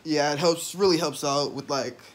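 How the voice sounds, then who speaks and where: A man's voice: fairly high pitch, fairly even intonation, normal speed. A young man chatting casually in a slangy, mock-indignant way, as in a parody video call. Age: young adult. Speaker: comedy video creator. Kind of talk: parody FaceTime chat, casual. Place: indoors at home. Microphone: phone at arm's length. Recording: noisy phone recording with room ambience.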